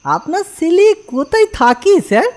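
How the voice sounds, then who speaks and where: A woman voicing an impudent but good-hearted young male petty thief in a comedy cartoon, with animated rustic speech. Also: very high voice, moderate pace, slight background noise. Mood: cheeky. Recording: faint music behind.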